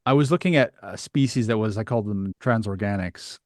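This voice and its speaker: Guy hosting a podcast, kinda low voice, musing casually, really dry audio. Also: fairly quick, darkish tone, no echo. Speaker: podcast host. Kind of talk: casual, reflective interview discussion.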